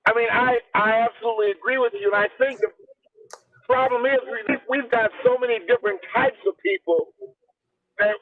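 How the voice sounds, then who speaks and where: A male guest calling in to a casual chat, talking in an animated, profane way with laughing asides. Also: extremely high pitch, fairly quick, a crowd in the background. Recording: tinny phone line.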